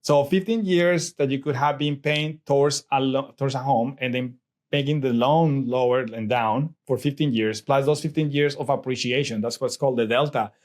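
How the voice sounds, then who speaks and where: A man's voice: mid-range voice, moderate pace. A middle-aged man from Colombia with a Colombian Spanish accent, a native Spanish speaker talking as an interview guest in a rambling way with many restarts and fillers. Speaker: guest, real estate investor. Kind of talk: casual interview chat.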